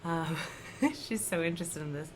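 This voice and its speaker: A woman in her thirties chatting at home about her stitching, slightly raspy from a cold and laughing often. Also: low voice, steady tone, normal speed, a little hiss or hum. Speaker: cross-stitch vlogger. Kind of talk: casual chat to camera. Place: home, indoors.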